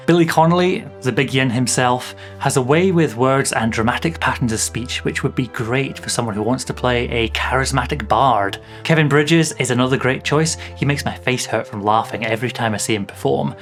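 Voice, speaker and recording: A Scottish man, a tabletop game master, giving friendly but opinionated tips in scripted narration. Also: medium pitch.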